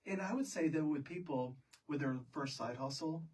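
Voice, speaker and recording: A husky-voiced American man chats as the host of a casual interview. He talks in a joking, chatty manner and puts questions to his guests, picked up a little distance from the microphone. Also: fairly high pitch, normal speed, a little mellow. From Florida, United States. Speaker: podcast host.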